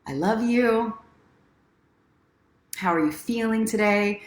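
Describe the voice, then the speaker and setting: A woman's voice: fairly high pitch, slow pace. A woman hosting a casual livestream, encouraging and speaking straight to her viewers like a self-help coach. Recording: roomy, echoing sound.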